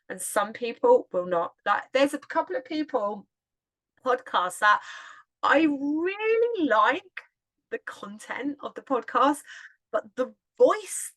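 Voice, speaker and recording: Middle-aged english woman with a hertfordshire accent, loud and chatty, laughs a lot, bright voice. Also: a little high. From England. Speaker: podcast host and yoga teacher. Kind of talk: casual solo podcast talk.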